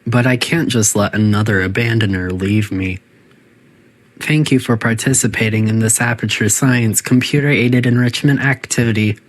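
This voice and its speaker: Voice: mid-range voice, fairly even intonation, moderate pace, close to an everyday voice, poor audio. A performer voicing a polite but menacing artificial intelligence that runs test chambers, making formal, clinical, passive-aggressive announcements in a video game. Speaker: facility announcer.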